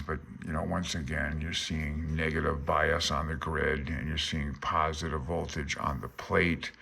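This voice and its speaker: A man with a very deep voice that still has a bright, forceful edge. He talks like an informal instructor, alternating between reading aloud and explaining in his own words. His delivery is folksy and offhand, as if thinking out loud. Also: gravelly, slightly rough. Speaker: instructor reading through a radio handbook.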